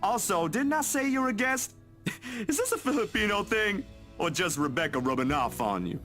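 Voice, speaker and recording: A man's voice: high voice, expressive, moderate pace, a bit bright, soft background music. An actor playing an easygoing, caring young man in a visual novel, talking casually and teasing a friend in a folksy way. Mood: playful, teasing.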